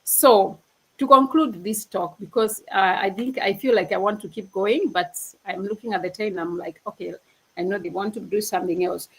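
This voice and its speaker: A woman's voice: medium pitch, normal speed, fairly bright tone, breathy edge, low-quality recording. Earnest woman from Kenya, laughing easily. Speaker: panel speaker on a livestream. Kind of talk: casual motivational talk.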